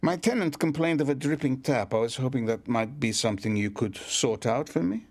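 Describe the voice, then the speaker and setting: Radio soap landlord: formal, polite, guarded, medium-pitched male voice. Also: expressive, brisk. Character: courteous, guarded landlord and painter, faintly secretive.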